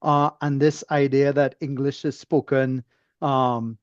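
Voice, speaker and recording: A man's voice: medium pitch, flat delivery, unhurried, no room sound. A Trinidadian man musing discursively as a podcast guest, heard through slightly muffled, very dry audio. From Trinidad and Tobago. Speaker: interview guest, engineer. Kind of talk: conversational, reflective interview.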